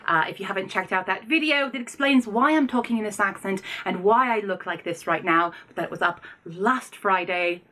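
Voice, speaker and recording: A woman's voice: medium pitch, normal speed, hardly any pauses, pushed and bright. A woman speaking casually and informally as a video host, effusive and opinionated in the way she reviews. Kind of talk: casual chatty book vlog.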